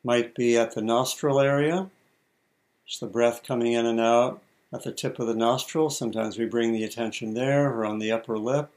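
A man leads a guided meditation as the teacher. He speaks in short, measured phrases at a middling pitch, leaving deliberate pauses as he gives each instruction. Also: fairly even intonation, darkish tone.

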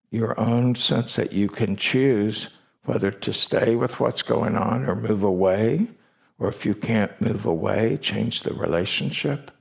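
Very slow, gravelly man guiding meditation. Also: on the low side. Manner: slow, deliberate, with long pauses between short phrases. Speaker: meditation teacher. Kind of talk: guided meditation. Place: meditation retreat hall.

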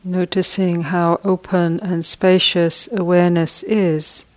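A woman leads a guided meditation in a low, steady voice that barely rises or falls. She speaks very slowly and softly, leaving long pauses between her gentle instructions. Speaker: meditation teacher.

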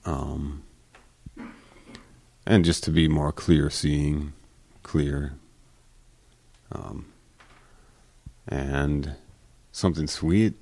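A man hesitantly sharing his view of meditation in a group, pausing often with fillers. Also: very deep voice, steady tone, creaky, a little mellow, no room sound.

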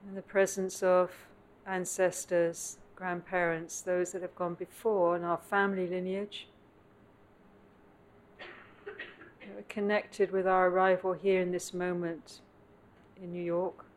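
A woman meditation teacher leading a guided meditation in contemplative, measured phrases with long pauses, recorded live at a meditation center. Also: fairly low pitch, level tone, poor audio. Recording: clear background noise, live room ambience.

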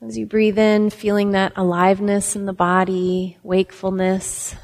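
A woman meditation teacher leading a guided meditation, giving gentle instructions with long pauses. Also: medium pitch, little pitch movement. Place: meditation center hall. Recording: reverberant hall.

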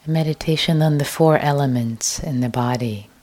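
A woman teacher guiding a meditation, speaking softly and gently with long pauses, sometimes sinking into a whisper. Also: low-pitched. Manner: slow, soft, gentle guidance with long pauses; drifts into an unvoiced whisper at times. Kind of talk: guided meditation.

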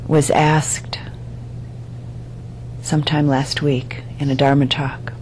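Slow, low-voiced woman guiding meditation. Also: little pitch movement, a little mellow, slight background noise, poor audio. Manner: measured, deliberate phrasing with frequent pauses. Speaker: meditation teacher. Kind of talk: guided meditation, dharma talk.

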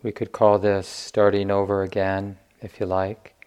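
A man teaching meditation, giving gentle guided instruction in short phrases with long pauses. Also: lowish voice, monotone, clear, resonant tone, lo-fi sound.

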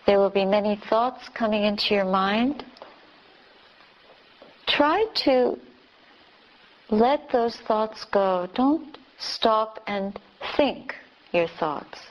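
A woman teacher leading a beginner's guided meditation, giving calm, gentle instructions with long pauses. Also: medium pitch, muffled recording. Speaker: Buddhist nun teaching meditation.

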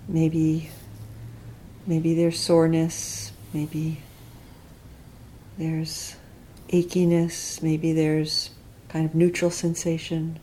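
A woman with a dark, relaxed, fairly low voice leads a guided meditation. She speaks very slowly and gently, leaving long pauses between short, reflective instructions. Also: a little hiss or hum, poor audio. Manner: unhurried, gentle, reflective phrasing. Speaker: meditation teacher leading a guided body scan.

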